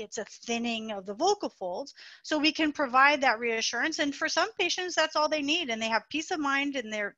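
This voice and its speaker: A woman doctor teaches in a webinar over a video call, explaining things patiently with everyday comparisons. Her voice sits high and sounds bright. The audio is dry and a little dull. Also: no echo. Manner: explanatory, uses plain comparisons. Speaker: voice doctor presenting. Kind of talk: webinar lecture.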